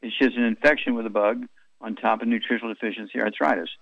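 A man hosting a radio health call-in show, telling rambling stories and firing brisk questions at callers. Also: medium pitch, fairly even intonation, gravelly, no echo, lo-fi sound.

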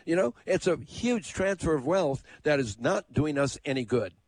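A man's voice: fairly high pitch, animated, moderate pace, darkish tone, no room sound. A man hosting a call-in radio talk show, speaking in a conversational, emphatic way. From United States.

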